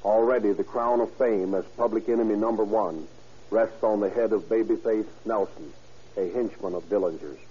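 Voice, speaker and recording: A man's voice: fairly low pitch, moderate pace, dark tone, breathy edge. A man with an American accent gives a solemn, formal scripted address in 1930s radio style, as a police chief. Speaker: police chief guest commentator. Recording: muffled vintage 1930s radio broadcast.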